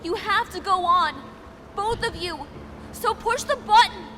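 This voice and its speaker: An earnest, resolute young pony princess in a dramatic story, acted by a woman. The voice sits very high and pleads with urgent emphasis. A clear rushing noise runs behind the recording. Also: sharp tone, heavily acted. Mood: desperate, insistent. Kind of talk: dramatic fan fiction reading.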